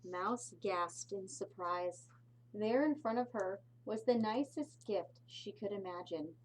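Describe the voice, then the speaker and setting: A woman reads a picture book to small children at a slow pace. Her voice sits at a middle pitch and her delivery is expressive and deliberate. There is some noise in the recording. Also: poor audio. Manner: slow, deliberate phrasing with explanatory asides. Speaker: pre-K teacher reading aloud.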